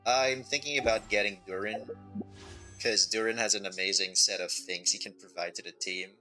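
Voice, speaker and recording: Guy live streaming a game, lowish but really bright voice, thinks out loud, bit of music behind. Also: steady tone, normal speed, rough recording. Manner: thinks aloud, reads on-screen text, asks himself questions.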